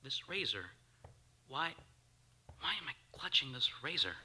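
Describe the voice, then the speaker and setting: A woman playing a tormented, frightened amnesiac man in a radio drama, halting and repetitive, with a raspy edge and frantic bursts. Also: a bass voice, sing-song, sharp tone, full character voice. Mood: agitated, confused. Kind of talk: old-time radio drama acting.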